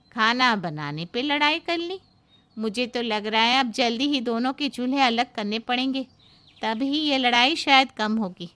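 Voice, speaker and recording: A woman's voice: on the high side, fairly bright tone. A woman voicing a chatty, weary middle-aged neighbour in a cartoon moral story, gossipy and given to complaint. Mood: complaining.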